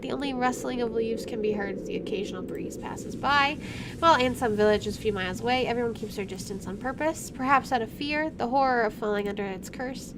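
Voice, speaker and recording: A woman's voice: a little high, background noise. A woman streamer reading visual novel text aloud and breaking in with casual, playful asides. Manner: chatty, self-interrupting, playful reactions. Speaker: video game streamer doing a let's play.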